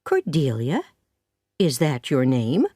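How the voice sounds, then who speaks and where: A woman's voice: extremely low pitch, big pitch swings, takes it slowly, warm tone, husky, no room sound, clean studio sound, voice pushed down, full character voice. A woman voicing a stern, practical middle-aged farm woman who speaks firmly. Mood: surprised.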